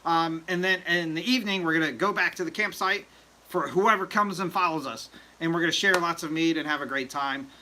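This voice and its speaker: Bright-voiced man chatting on a livestream. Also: on the high side, a little fast, a little hiss or hum, rough recording. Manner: rambling, filler-heavy, joking. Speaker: livestream host. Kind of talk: casual livestream chat.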